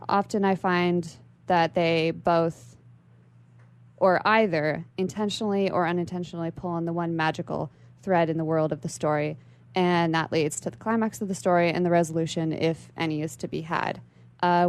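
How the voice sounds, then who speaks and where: A young woman with a slightly low voice, speaking as a radio interview guest. She explains things in a bookish, articulate way, building long and prepared-sounding sentences. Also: fairly even intonation, normal speed. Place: radio station studio.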